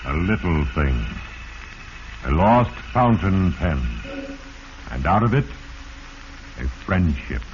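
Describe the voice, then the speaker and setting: An actor playing Fate as a solemn, all-knowing, portentous narrator in a 1940s radio drama. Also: male voice, deep voice, animated, takes it slowly, pauses often. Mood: knowing, grim. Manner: ominous, portentous, theatrical. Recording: muffled, hissy 1940s radio recording.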